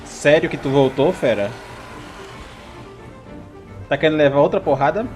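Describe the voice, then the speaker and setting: A Brazilian man giving casual, expressive, exclamatory commentary as he reacts to a video game. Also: a little high, normal speed. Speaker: gaming video host. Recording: game music behind.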